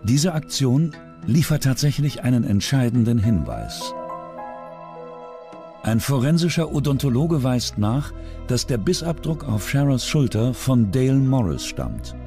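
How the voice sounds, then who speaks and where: A man's voice: medium pitch, big pitch swings, unhurried, mellow, faint music behind. A man reading scripted true-crime documentary narration.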